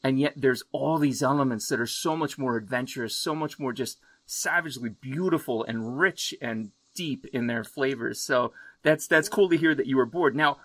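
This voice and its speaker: A man's voice: medium pitch, normal speed, few pauses, a bit bright, no echo. A man from the United States hosting a podcast over a remote call, talking in an exuberant, casual way and swearing freely. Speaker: podcast host. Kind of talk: casual interview chat. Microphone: remote video call.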